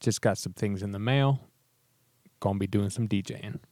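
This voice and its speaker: A hoarse man co-hosting a podcast, chatting casually in a laid-back, joking way. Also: fairly low pitch, moderate pace, a little mellow, no echo, studio quality. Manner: laid-back, joking, trails off mid-sentence. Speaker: podcast co-host. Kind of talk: casual chat.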